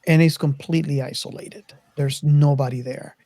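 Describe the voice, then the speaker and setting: Casual film-podcast co-host, mid-pitched and hesitant, feeling for the right word, recorded dry. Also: steady tone, moderate pace, creaky, dark tone, no room sound. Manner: hesitant, searching for words.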